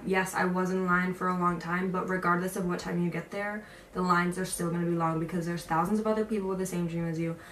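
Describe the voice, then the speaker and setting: A woman's voice: lowish voice, fairly bright tone. A young woman vlogger telling a story and giving advice in a casual, chatty, emphatic way. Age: young adult. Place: indoor room with a picture on the wall. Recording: roomy echo, distant mic, faint noise.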